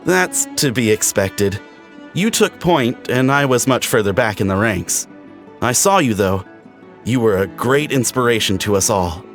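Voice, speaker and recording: An actor playing an easygoing young soldier in a video game, upbeat, casual and confident. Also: male voice, medium pitch, expressive, measured pace, faint music behind, close to an everyday voice. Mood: admiring. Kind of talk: animated video game voice acting.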